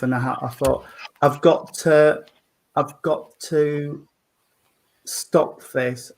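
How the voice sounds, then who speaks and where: A man, a trustee, talking slowly and hesitantly in a casual group chat, with many ums and restarts. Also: on the high side, low-quality recording. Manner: slow, hesitant, with many fillers. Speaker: trustee on a panel.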